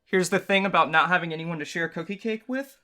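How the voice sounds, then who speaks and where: A man's voice: a little high, fast, pushed and bright. A man co-hosting a let's-play, joking casually in a goofy, self-mocking way while he plays. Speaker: co-host of a let's-play show. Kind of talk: casual joking banter while playing a game.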